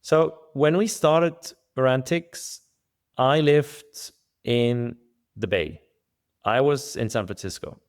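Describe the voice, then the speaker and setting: A middle-aged man from Switzerland, a venture studio co-founder, talking through his answers in a thoughtful, measured way with some restarts. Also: mid-range voice. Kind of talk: conversational podcast interview.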